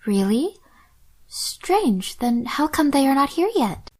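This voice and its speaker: A woman playing a sweet, polite young apartment manager in a fan audio drama, speaking gently. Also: high voice, sing-song, slow pace, exaggerated voice.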